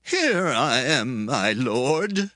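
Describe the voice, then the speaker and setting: A man playing a humble, earnest priest who pleads his innocence deliberately in a dramatized scripture reading. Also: medium pitch, big pitch swings, sharp tone, dry recording, clean studio sound, exaggerated voice. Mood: submissive.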